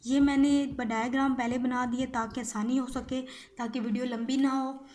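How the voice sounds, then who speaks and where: A woman with a somewhat high-pitched voice teaches in an informal, explanatory way. She repeats phrases as she goes and punctuates points with brief check-in words. Faint noise and clicks sit in the homemade recording. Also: little pitch movement, normal speed, darkish tone. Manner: repetitive, checking in with frequent tag words. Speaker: physiotherapy lecturer.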